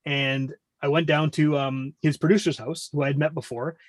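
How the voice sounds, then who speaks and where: A man's voice: on the high side, flat delivery, moderate pace, dry recording, studio quality. A middle-aged man chatting casually as a podcast guest, talkative and often interrupting himself as he explains. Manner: talkative, rambling, self-interrupting. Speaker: podcast guest, music podcast host.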